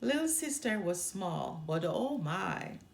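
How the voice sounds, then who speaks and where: A woman's voice: mid-range voice, very animated, slow, gravelly, full character voice. A woman voicing a small, earnest, outspoken girl bear cub in a children's storybook. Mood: admiring.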